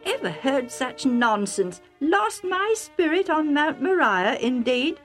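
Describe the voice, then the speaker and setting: A woman's voice: sits high, sing-song, takes it slowly, soft background music, pitched above the performer's own voice, heavily acted. A woman voicing a faithful, stubborn old man who declaims emphatically in a Bible story. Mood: indignant, fervent.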